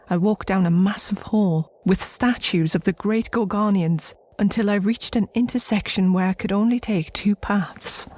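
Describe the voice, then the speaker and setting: Actress as solemn, thoughtful alien woman in a sci-fi audio drama. Medium pitch, articulate, measured delivery. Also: dark tone, close to an everyday voice. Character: earnest, reflective alien woman revering her people's ancient past.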